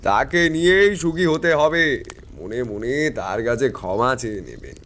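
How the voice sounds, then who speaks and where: An actor playing a shrewd, self-assured tantric fortune-teller, narrating and speaking with knowing authority in an audio drama. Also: male voice, mid-range voice, expressive, measured pace, a bit bright. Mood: knowing, advising. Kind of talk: dramatised first-person story narration.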